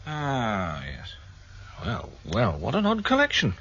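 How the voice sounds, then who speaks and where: Wry senior detective, very animated pitch. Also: male voice, takes it slowly, breathy edge, exaggerated voice. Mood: wry, curious. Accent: British. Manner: wry, musing, authoritative. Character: wry, sceptical senior male police detective, world-weary. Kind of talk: 1970s British radio drama acting. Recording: dull, lo-fi 1978 radio broadcast.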